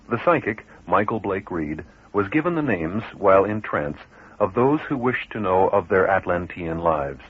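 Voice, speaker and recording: A man narrating a radio documentary in a calm, explanatory way. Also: low voice, breathy edge. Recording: narrow lo-fi radio broadcast.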